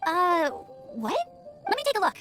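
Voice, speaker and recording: A woman's voice: very high voice, sing-song, moderate pace, loud music behind the voice. A woman playing a cheerful, eager teenage girl scout in a video game, earnest and informal. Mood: embarrassed, sincere.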